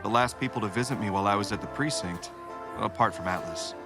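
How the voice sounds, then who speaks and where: An actor in an audio drama playing a stiff, principled lieutenant who speaks in formal, precise, courteous phrases. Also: male voice, on the low side, over music, natural voice. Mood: formal, modest. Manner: formal, precise, measured wording.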